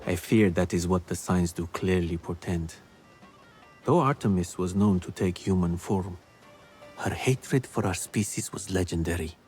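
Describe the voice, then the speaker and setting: A wise ancient physician spirit speaks gravely with a lowish voice. His delivery is solemn and deliberate. Faint music sits under him. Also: darkish tone. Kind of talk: game cutscene dialogue.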